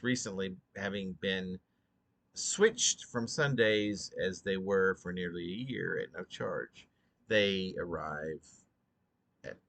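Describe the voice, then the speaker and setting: A man with a low voice reads poetry aloud as its author. He sounds sleepy and goes deliberately, often halting, stumbling over a word or repeating a phrase. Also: a bit bright. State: drowsy, short on sleep. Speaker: poet reading own work. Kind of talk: slow, halting poetry reading.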